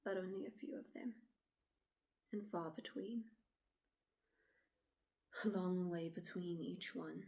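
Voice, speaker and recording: An actress plays a shaken city woman giving her account to the police in a stage monologue. Her lowish voice is halting and often hushed to a breathy near-whisper, pleading to be believed. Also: steady tone. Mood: haunted, fearful.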